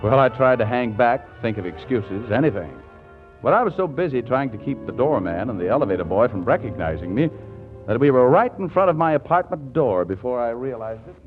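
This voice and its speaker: A man's voice: medium pitch, animated, a little fast, lightly acted. An actor playing a lovestruck, evasive young man in a 1940s radio comedy, talking in an emphatic, pleading way. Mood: sheepish. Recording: dull vintage 1940s radio recording, music behind.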